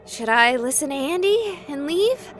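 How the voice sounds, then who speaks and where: A woman's voice: high-pitched, sing-song, unhurried, a little hiss or hum. An actress voicing a young woman streamer, skeptical and sarcastic but rattled by a haunting. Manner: sarcastic, flustered. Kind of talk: visual novel voice acting.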